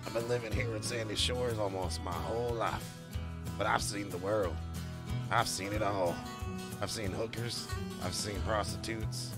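A man's voice: on the low side, steady tone, a little slow. A man voicing a folksy, defiant country truck driver with a drawling, boastful manner in a game roleplay. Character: folksy, defiant country trucker, male, small-town desert local. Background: guitar music.

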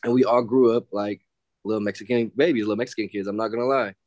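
A young man from the San Francisco Bay Area speaks up in a class held over a video call. His voice sits low and sounds dry and close. He talks in a blunt, slangy, animated way. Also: quick pace, dry recording. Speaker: student. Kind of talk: casual class discussion.

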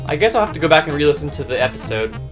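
A man's voice: a little high, very animated, very fast, music in the background. A young adult man hosting a countdown review video in scripted narration.

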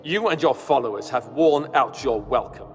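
A man's voice: mid-range voice, moderate pace, close to an everyday voice. A man playing a stern, indignant young king in a video game, formal and commanding. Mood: stern displeasure. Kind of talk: video game cutscene acting. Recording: long hall reverb, background music.

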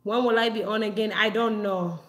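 A Ghanaian woman vlogging in a casual, animated way. She laughs often and repeats phrases for emphasis. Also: mid-range voice, a little fast. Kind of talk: casual talk to camera. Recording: echoey room.

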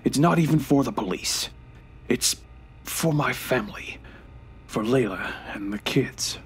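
A man's voice: mid-range voice, expressive, unhurried, a little hiss or hum. Man playing a weary, defiant dying old man. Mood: solemn, weary. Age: 90s as played. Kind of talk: audio drama acting. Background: music.

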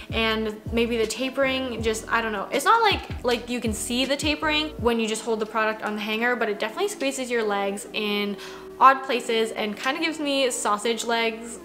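Young woman, casual vlog chat, bright voice, faint music underneath, home office. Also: on the high side. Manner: chatty, conversational, lots of "like" fillers. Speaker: fashion vlogger. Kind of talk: casual product review chat. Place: small home office, indoors.

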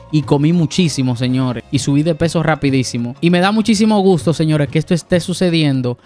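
Man, 30s, reaction host, casual and animated, slightly high voice, soft music underneath. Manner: animated, gestures a lot, keeps addressing viewers as "señores". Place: small indoor home studio with shelves. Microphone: studio mic on boom arm, headphones.

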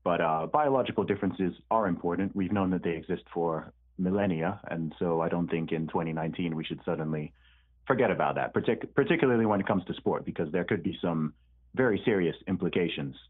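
Deep-voiced man on a tinny phone line. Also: a little fast, husky. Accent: mixed British and American. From born in the UK, raised in Saudi Arabia, Nigerian parents. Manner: laid-back, hesitant with ums and repeats. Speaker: guest, rapper. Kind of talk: remote interview answers. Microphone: remote call line.

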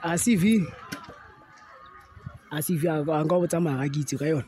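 A young man answering quiz questions casually outdoors on a school field, unsure and relaxed. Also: on the high side, normal speed, a little hiss or hum. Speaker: interviewee. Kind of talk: casual chat, mixing languages. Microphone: handheld phone mic held by interviewer.

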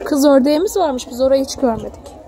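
Woman, very high voice, young mother, casual affectionate coaxing talk to a child, noisy outdoor phone recording. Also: animated, fast, mellow. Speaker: mother filming her child. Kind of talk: casual chat with a small child. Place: outdoors in a theme park. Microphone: handheld phone, behind the camera.

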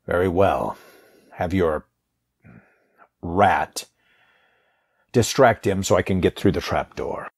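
An actor playing a weary, stern guardian in an audio drama, with a husky voice and a grave, terse manner. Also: male voice, sits low, slow pace, pauses often, heavily acted. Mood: grim, urgent. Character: weary, stern, protective male guardian on the run.

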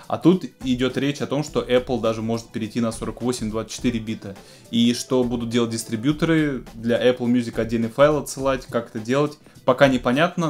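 Male host, 30s, medium-pitched voice, casual gear-news presenting to camera, background music. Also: a little mellow. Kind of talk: news roundup to camera, semi-scripted casual talk. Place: small home studio room with keyboards.

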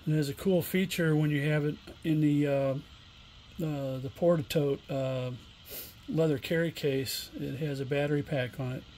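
A middle-aged man giving a casual, unscripted product demonstration in a plainspoken, halting way. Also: fairly high pitch, steady tone, a little mellow, low-quality recording. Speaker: online seller demonstrating used radio equipment. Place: indoor home workshop bench. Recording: room noise, homemade camera recording.

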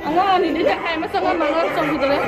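A woman from Manipur chats through a family vlog in a high, very quick voice, coaxing and playful with her kids. She is caught on a handheld phone out on a busy farm, with loud music and a crowd all around her. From Manipur, India. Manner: chatty, coaxing and playful with children. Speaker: vlogger and mother. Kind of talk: casual family vlog narration. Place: outdoor farm pumpkin patch.

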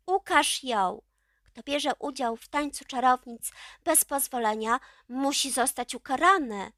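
A woman voicing vengeful witches of a coven, loud, shrill and insistent, in a children's storybook. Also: measured pace, no echo, studio quality. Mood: outraged. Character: clamoring, vengeful coven witches demanding punishment.

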